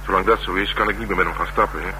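An actor playing a gruff, streetwise police detective in a Dutch radio drama, talking in a dry, wry and slangy way. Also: male voice, low-pitched, steady tone, racing, lightly acted. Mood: wry, matter-of-fact. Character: male police detective, gruff, streetwise, worldly, asking a favour. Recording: noisy radio drama recording.

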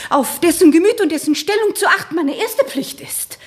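A woman's voice: extremely high pitch, animated, normal speed. A guarded noble lady friend. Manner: hesitant, guarded, prompting questions. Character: cautious noble lady friend, wary, dutiful. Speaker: Luisa. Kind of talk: 1960s radio drama acting.

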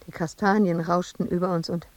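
An actress in a radio drama playing a melancholy woman sculptor who recalls her past in hushed, halting, intimate phrases. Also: lowish voice, steady tone, no room sound, natural voice. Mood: brooding, bitter.

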